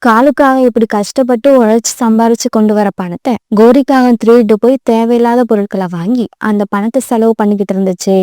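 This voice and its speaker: A woman's voice: on the high side, fairly even intonation, fairly quick, few pauses, warm tone, no room sound, clean studio sound. A woman narrating a children's moral story as a scripted voice-over.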